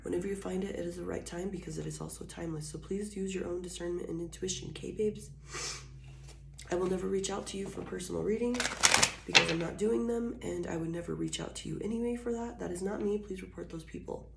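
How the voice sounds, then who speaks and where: Hoarse woman tarot reader, slow and soft, deep voice, faint hiss behind her. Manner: soft, slow and drawn-out, with scattered fillers. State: hoarse, recovering from illness, coughing. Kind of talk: casual channeled tarot reading. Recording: echoey room, faint noise.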